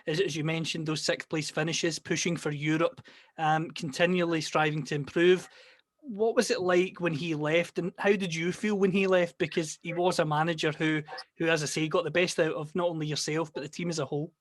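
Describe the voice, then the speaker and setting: A man's voice: a little high, fairly even intonation, fairly quick, no echo. A Scottish man interviewing a guest, building up long, carefully framed questions. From Scotland. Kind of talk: podcast interview.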